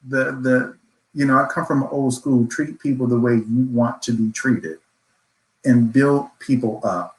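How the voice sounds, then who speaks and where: A man's voice: mid-range voice, a little mellow. A man speaking as a panelist in a reflective discussion, earnest, affirming and motivational. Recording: roomy echo.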